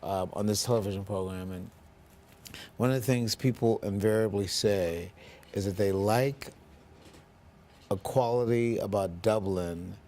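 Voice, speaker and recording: A man hosting a local TV interview, speaking in a measured, formal way with frequent ums. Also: lowish voice, warm tone. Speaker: TV interview host.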